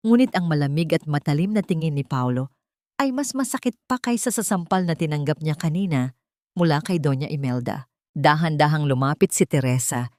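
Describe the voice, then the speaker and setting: Low-voiced woman narrating a dramatic story. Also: expressive, a little fast, darkish tone, no echo, studio quality. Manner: solemn, melodramatic delivery. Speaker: audiobook narrator.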